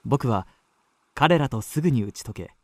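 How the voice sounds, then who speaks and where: A man playing a suave, well-bred young gentleman traveller in a radio drama, measured, polished and courteous. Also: mid-range voice, lively intonation, no room sound. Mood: composed narration. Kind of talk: radio drama narration and dialogue.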